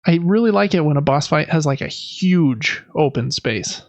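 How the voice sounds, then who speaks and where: A man's voice: a little high, expressive, normal speed. A man chatting casually as a podcast host. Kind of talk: casual chat about video games.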